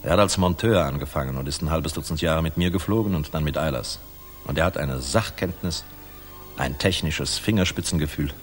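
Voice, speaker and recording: A very low male voice with faint music behind it: a worldly air force general in an old German radio play. He is cynical yet jovial, and talks in blunt, colloquial turns. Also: a little fast. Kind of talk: 1960s German radio drama acting.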